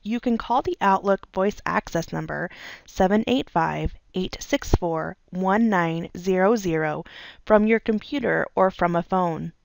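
Creaky-voiced woman narrating a tutorial. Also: lowish voice, no echo, rough recording. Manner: clear, measured step-by-step delivery. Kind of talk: scripted instructional narration.

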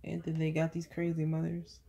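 A woman's voice: sits low, monotone, fairly quick, gravelly, noisy background. A woman in her thirties, a mother chatting and teasing her daughters at bedtime, filming on a phone in bed. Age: 30s. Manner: playful teasing, repeating questions. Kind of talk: casual family chat at bedtime. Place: bedroom, lying in bed indoors. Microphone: phone camera held at arm's length.

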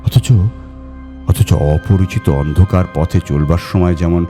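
A man reading a literary ghost story in a measured, expressive and suspenseful way. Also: low-pitched, music in the background. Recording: long hall reverb on voice.

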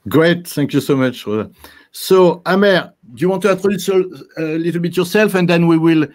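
A man's voice: fairly high pitch, brisk. Older man hosting a video call. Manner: hesitant, many fillers and restarts. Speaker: host. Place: office with posters behind.

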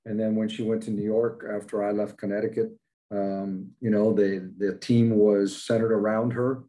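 Older male coach, matter-of-fact, low mellow tone, webcam press conference. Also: flat delivery, moderate pace, rough recording. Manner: plain-spoken, many fillers, matter-of-fact. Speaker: basketball head coach. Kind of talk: postgame press conference answers. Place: indoors in front of a logo backdrop. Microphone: video call, webcam at desk.

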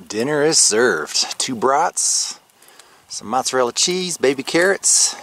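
A man in his thirties talking casually to a handheld camera outdoors at a snowy campground, relaxed and chatty with fillers. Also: medium pitch, slow. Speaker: vlogger. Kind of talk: casual vlog talk to camera. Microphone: handheld camera at arm's length.

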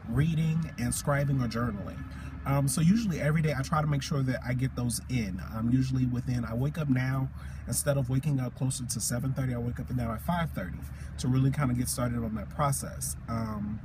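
A man in his thirties chatting informally and reflectively to camera from inside a parked car, in a loosely structured way. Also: mid-range voice. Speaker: vlogger. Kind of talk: casual car chat to camera. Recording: clear background noise, car ambience.

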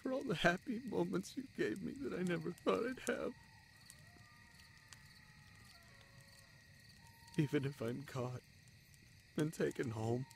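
A man playing an anguished, self-sacrificing young spider-folk lover in a romantic audio drama, halting and pleading in manner. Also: a little high, creaky, slight background noise. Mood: tender, heartbroken.

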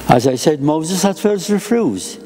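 An older man, a priest, giving a homily at a lectern microphone in a chapel, speaking slowly in short, measured phrases. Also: fairly high pitch, animated, warm tone, long reverb tail. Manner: slow, deliberate phrasing in short measured pieces. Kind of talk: sermon. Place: chapel with an icon and a lectern, indoors.

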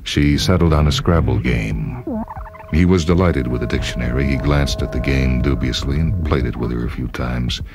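A man reading literary fiction aloud as scripted narration, in a measured, dramatic way. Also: extremely low pitch, music in the background. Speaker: story reader.

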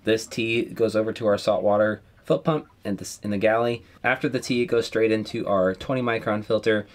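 A man in his thirties giving a relaxed step-by-step how-to explanation inside a small sailboat cabin. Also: fairly low pitch, brisk. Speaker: sailing vlogger. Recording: background music over voice.

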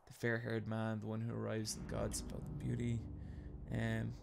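A man's voice: fairly low pitch, completely flat delivery, moderate pace, creaky, noisy background. A man in his thirties with an Irish accent, chatting casually with his live chat while playing a game in his bedroom. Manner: chatty, reads and answers chat, swears casually. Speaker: streamer. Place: home bedroom. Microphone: desk mic with webcam.

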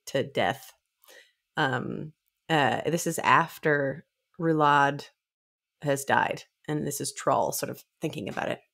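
A woman's voice: sits low, gravelly. A young woman co-hosting a podcast, chatting casually about books and reading passages aloud into a close microphone. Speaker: podcast co-host. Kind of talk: casual book discussion with read-aloud passages. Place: indoor room, remote video call. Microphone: close studio microphone.

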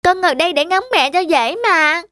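A woman's voice: very high voice, a little fast, sharp tone, slight background noise. A woman playing an innocent, curious little schoolgirl in a cartoon, polite and earnest. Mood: friendly, curious. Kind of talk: dubbed cartoon dialogue.

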